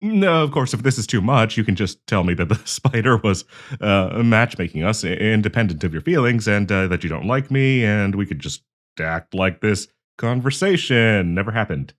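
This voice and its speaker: A man's voice: lowish voice, lively intonation, normal speed, lightly acted. A man playing a nervous, awkward young man shyly confessing love to a friend in an audio roleplay, stammering and hesitant. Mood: flustered, nervous. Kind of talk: intimate audio roleplay acting.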